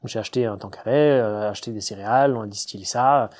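A man's voice: fairly low pitch, fast, darkish tone. A hesitant male distiller. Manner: hesitant, many fillers and restarts. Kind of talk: casual interview talk.

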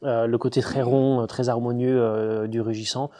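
A man's voice: mid-range voice, little pitch movement, normal speed, dark tone. A man, a distiller, answering interview questions with lots of hesitations and restarts; German is his first language. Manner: hesitant, many fillers and restarts. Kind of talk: conversational interview.

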